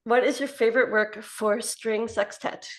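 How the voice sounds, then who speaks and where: A woman in her forties interviewing a guest over a video call, friendly and laughing often as she asks rapid-fire questions. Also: a little high, fairly even intonation. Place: home office with piano. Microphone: laptop webcam on video call.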